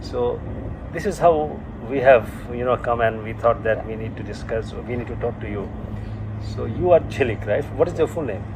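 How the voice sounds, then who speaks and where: Man, interviewer, hesitant, restarts sentences, mid pitch, background noise. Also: moderate pace. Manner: hesitant, many restarts and fillers. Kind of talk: casual interview.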